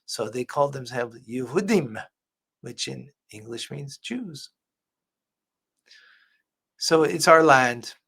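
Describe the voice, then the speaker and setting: Slow gravelly-voiced rabbi. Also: male voice, medium pitch, animated, fairly bright tone. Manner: earnest, preacher-like teaching. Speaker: rabbi commenting on a clip. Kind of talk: religious reaction commentary.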